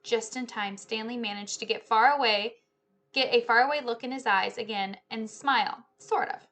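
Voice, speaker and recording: A woman in her thirties reading a children's story aloud in an expressive, animated way, indoors on a webcam. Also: a little high, normal speed. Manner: animated, hushing and swelling with the story. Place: small living room, indoors. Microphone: webcam at desk distance.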